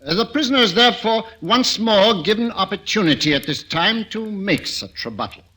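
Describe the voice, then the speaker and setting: A man's voice: high-pitched, animated, brisk, pushed and bright, full character voice. An actor playing a stern, pompous male judge who hesitates between formal pronouncements, in a 1940s radio drama.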